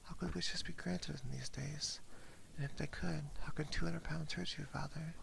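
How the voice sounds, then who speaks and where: Whispering man with heavy creak, ASMR reading. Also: a little high, fairly even intonation, a little hiss or hum. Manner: soft, hushed, mostly whispered, unhurried. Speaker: ASMR streamer. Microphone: close binaural ASMR microphone.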